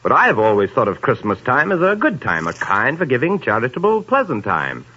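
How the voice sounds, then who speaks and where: An actor playing a cheerful, kindly young nephew who laughs and pleads good-naturedly, in a 1930s radio play. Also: male voice, medium pitch, wildly swooping pitch, fairly quick, bright, forceful tone, highs cut off, heavily acted. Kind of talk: 1930s radio drama acting.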